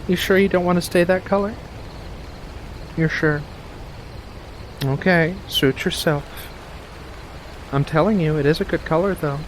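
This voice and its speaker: A man playing a slow, soft-spoken playful witch. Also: fairly high pitch, slight background noise, lightly acted, low-quality recording. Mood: teasing, apologetic. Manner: soft-spoken, teasing, unhurried, coddling with endearments. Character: playful, mischievous furry witch living alone in the woods. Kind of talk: ASMR roleplay.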